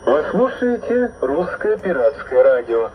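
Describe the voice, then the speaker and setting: A man's voice: fairly high pitch, big pitch swings, a little slow, muffled recording. A man delivering a scripted station announcement, recorded from a shortwave pirate radio broadcast. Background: radio noise.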